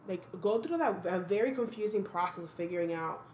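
A woman's voice: mid-range voice, animated, brisk, darkish tone, roomy sound, slight background noise. A young woman from the Dominican Republic co-hosting a podcast, speaking thoughtfully and frankly about her life. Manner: thoughtful, earnest, frank, with sudden blunt humor. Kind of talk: reflective casual chat.

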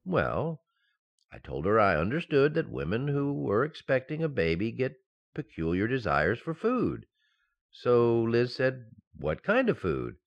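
An actor playing a flustered, easily shocked husband and father-to-be in a radio sitcom, excitable and dithering. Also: mid-range voice, measured pace, gravelly, muffled recording, heavily acted. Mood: fretful. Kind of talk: old-time radio sitcom acting.

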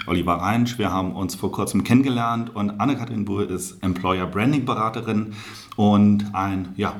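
A man hosting a business interview, speaking with energetic, enthusiastic greetings. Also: fairly low pitch. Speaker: interview host.

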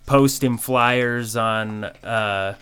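A man in his twenties from Colorado, hosting a casual chat in a relaxed, conversational way with lots of "you know". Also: lowish voice, very slow, smooth. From Colorado, USA. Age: 20s. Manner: laid-back, conversational, peppered with "you know".

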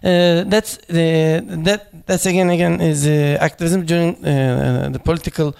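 A man speaking at a memorial panel, halting and searching for words, with many fillers and restarts in English that is not his first language. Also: a little high, fairly even intonation, vocal fry, darkish tone, clean tone. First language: not English; foreign accent in Turkish noted.

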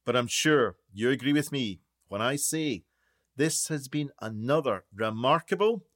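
A man's voice: mid-range voice, animated, measured pace, fairly bright tone. A man playing a pompous, self-important documentary maker presenting his show in a radio play. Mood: grandiose. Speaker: show host.

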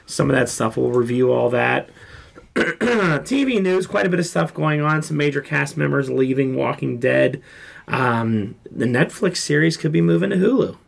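Man, podcast host, sore throat, froggy, heavy vocal fry, rambling chat. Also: on the high side, expressive, moderate pace. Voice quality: froggy, gravelly. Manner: joking, rambling. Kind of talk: casual pop culture chat.

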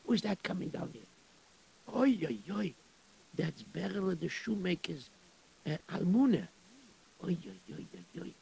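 A man playing a gravelly synagogue caretaker. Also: sits high, very animated, unhurried, long pauses, mellow, heavily acted, low-quality recording. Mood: gossiping. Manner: sighing, gossiping, fussing over tips. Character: gossipy pious male synagogue caretaker, middle-aged.

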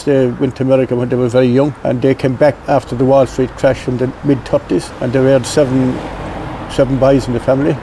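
A man in his seventies with a County Clare accent, recalling his youth in a relaxed, chuckling way as he is interviewed. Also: medium pitch, slight background noise, poor audio. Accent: Irish, County Clare. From Ireland. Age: 70s. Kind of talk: reminiscing, casual storytelling.

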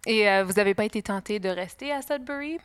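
A woman hosting an interview, polite and a little hesitant, with frequent filler pauses as she introduces her guest and asks questions. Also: medium pitch, smooth, dry recording.

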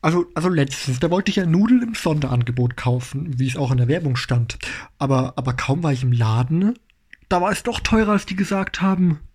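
Man playing a husky, stammering young chief detective. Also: a little high, lively intonation, a little fast, darkish tone. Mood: indignant. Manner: hesitant, stammering, fillers everywhere. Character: stammering know-it-all male chief detective, flustered. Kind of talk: amateur youth radio play acting.

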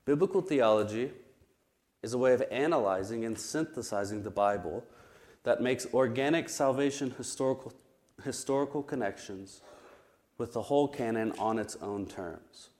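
A man teaching a Bible study class, his voice still recovering from an illness, explaining terms carefully with frequent pauses and restarts. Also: medium pitch, darkish tone.

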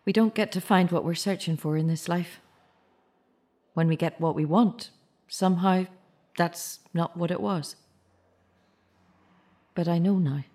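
A woman acting a bereaved, searching mother in an audio drama, naturalistic and halting, with anxious care. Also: lowish voice, a little mellow. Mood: reflective, forgiving.

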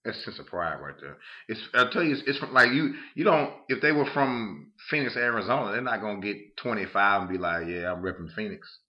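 A man from Louisiana chatting casually on a podcast, haltingly repeating his opening words as he makes a point. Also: on the low side, lively intonation, dull audio. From Louisiana, United States.

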